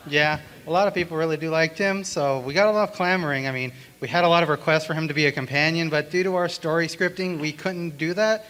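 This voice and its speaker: A man speaking haltingly as a panelist, explaining things to a convention hall. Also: on the high side, a bit bright. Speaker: panelist and series co-writer. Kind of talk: live convention panel talk. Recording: faintly noisy hall recording.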